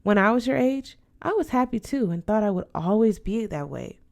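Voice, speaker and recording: A woman's voice: medium pitch, very animated, moderate pace, creaky, darkish tone. A woman voicing a proud, affectionate older godmother in a storybook, advising with emphasis. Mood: gently advising.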